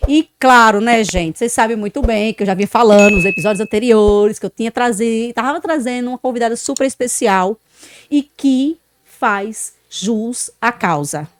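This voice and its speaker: A woman's voice: on the high side, moderate pace. A woman podcast host, talking expressively with frequent tag questions. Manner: expressive, frequent "né", loud greetings. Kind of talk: warm podcast interview.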